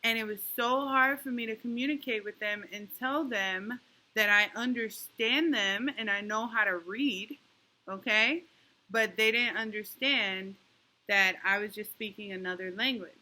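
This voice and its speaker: A woman teaching a young class online and checking often that the children follow, speaking slowly and patiently. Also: on the high side, sharp tone, rough recording. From New York. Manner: patient, encouraging, talks slowly to kids with many "okay?" checks. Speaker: elementary school teacher.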